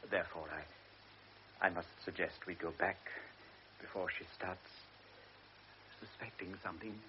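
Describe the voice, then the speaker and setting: An actor playing a frail, anxious elderly baron who is a devoted father. He speaks earnestly, stammers and drops into hushed tones. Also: deep voice, a little slow. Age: older. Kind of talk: classic radio drama acting. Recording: lo-fi radio recording with faint hiss.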